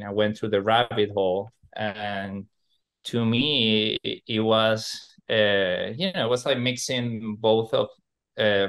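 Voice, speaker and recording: A man's voice: lowish voice, fairly bright tone. A man from Venezuela, a podcast guest who rambles with lots of fillers and restarts. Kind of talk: casual podcast interview.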